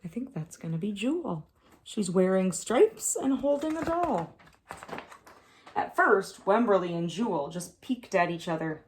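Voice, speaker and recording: A woman preschool teacher reading a picture book aloud to young children, with expressive, deliberate phrasing and emphasized words. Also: medium pitch, slight background noise.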